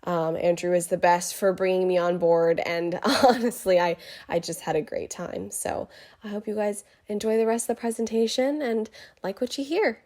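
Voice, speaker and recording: A woman's voice: medium pitch, steady tone, moderate pace, a little mellow. A young woman talking informally and casually as a musical collaborator on a project.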